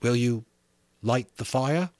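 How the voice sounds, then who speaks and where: A man's voice: mid-range voice, takes it slowly, a little mellow, no room sound, natural voice. A man voicing an earnest young boy leader, eager but unsure, calling out in a novel reading. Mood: hesitant.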